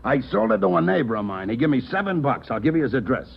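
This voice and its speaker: A man's voice: medium pitch, very animated, quick pace, gravelly. An American man playing a cocky, defensive robbery suspect, indignant and wheedling in 1940s radio drama style. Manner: wheedling, indignant street-tough talk. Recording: dull, faintly noisy 1940s radio recording.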